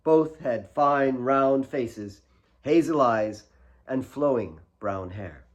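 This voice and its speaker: A man's voice: medium pitch, lively intonation, takes it slowly. A man reading his own novel as a dramatic, scripted audiobook narration.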